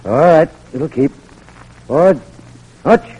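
An actor in an old-time radio drama playing a hard, suspicious army lieutenant who barks orders and questions curtly. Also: male voice, on the high side, expressive, slow pace, mellow, slightly rough, lo-fi sound, full character voice. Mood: firm, knowing. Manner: commanding, curt, interrogating.

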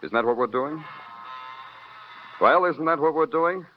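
A man's voice: fairly high pitch, unhurried, natural voice. An American man playing a hard-nosed police detective who narrates moodily and questions curtly in a radio crime drama. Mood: stern, pressing. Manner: clipped questioning, moody poetic narration. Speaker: narrator and lead actor. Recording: muffled vintage radio recording, loud music.

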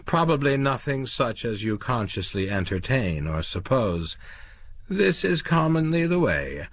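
A man voicing a stern elderly psychiatrist who speaks in a measured, clinical way. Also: mid-range voice, big pitch swings, slightly rough, voice pushed up, heavily acted.

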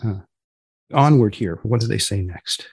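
A man's voice: on the low side, lively intonation, a little slow, darkish tone, husky, dry recording. A man hosting a podcast in a dry, understated conversational way, prompting his guest with questions. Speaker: podcast host. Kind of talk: conversational interviewing.

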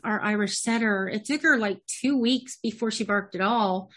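A woman's voice: medium pitch, moderate pace, a bit bright. A woman hosting a casual podcast interview, chiming in with short affirmations and easy anecdotes. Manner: friendly, with many short affirmations.

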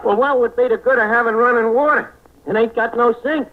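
A man's voice: extremely high pitch, fairly even intonation, a little fast. A man acting a brash, wisecracking tavern keeper in a 1940s American radio comedy, with a hemming, word-mangling patter. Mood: dismissive. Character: brash, fast-talking male tavern keeper running a shabby hotel. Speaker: comedy show lead. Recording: scratchy, tinny old radio recording, loud noise.

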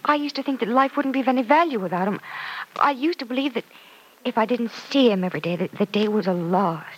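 A woman's voice: a little high, fast, close to an everyday voice, poor audio. A woman playing a hesitant young radio-serial heroine. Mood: earnest, tender. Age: young adult. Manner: hesitant, soft-hearted, confiding. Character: earnest, self-doubting young woman torn over love. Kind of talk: old-time radio serial acting.

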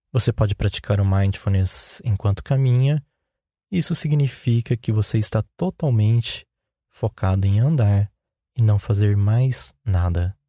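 A man with a Brazilian Portuguese accent leading a guided meditation in a calm, unhurried, instructional way. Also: lowish voice, dry recording, studio quality.

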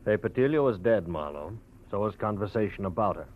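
A man's voice: on the low side, animated, fairly quick. An actor playing a cool, mocking male nightclub gangster in a 1940s radio drama. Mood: cold. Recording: tinny phone-like old radio recording.

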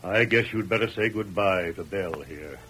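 An actor playing a stern, fair-minded frontier sheriff with a drawling, authoritative manner, in an old-time radio drama. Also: male voice, low-pitched, moderate pace, over loud music, natural voice. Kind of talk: 1930s radio drama acting.

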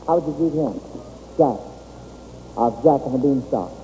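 An American man hosting an old-time radio children's quiz in a folksy, halting, encouraging way. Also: mid-range voice, mellow. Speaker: quizmaster of a children's quiz show. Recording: old radio, muffled, hissy, reverberant hall.

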